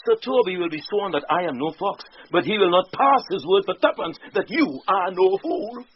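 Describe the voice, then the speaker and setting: A man's voice: high-pitched, lively intonation, normal speed, slight background noise. A man playing an impudent, witty court fool in a Shakespearean radio drama, teasing with playful riddles and mock logic. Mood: mock-serious teasing. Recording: dull 1990s radio recording, faint music.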